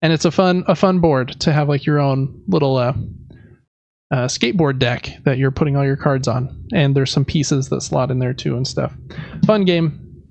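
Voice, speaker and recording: A man's voice: mid-range voice, normal speed, vocal fry, a little mellow, no echo, highs cut off. A man hosting a casual board game podcast, chatting and explaining things in a conversational way. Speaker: podcast host.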